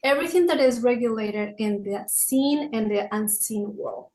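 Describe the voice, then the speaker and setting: A woman's voice: a little high, a little mellow, poor audio. A middle-aged woman from Peru with a Peruvian Spanish accent, answering reflectively as an interview guest and searching for her words. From Peru, raised in Lima. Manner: searching for words, halting phrasing. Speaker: podcast guest, author and wellness consultant.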